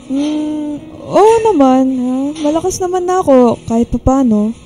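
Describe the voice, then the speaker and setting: Radio drama: insecure young Filipina sweetheart, high mellow voice, hesitant, coy. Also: female voice, expressive, unhurried, faint music behind. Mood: hesitant, shy. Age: young adult. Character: shy, insecure young woman, giggly and smitten.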